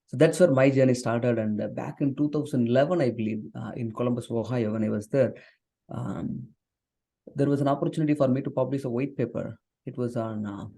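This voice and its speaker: A man's voice: medium pitch, dark tone. A man in his forties from southern India whose first language is Tamil, speaking earnestly as an interview guest, with frequent fillers and restarts. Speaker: guest, software engineer and author. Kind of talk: conversational interview.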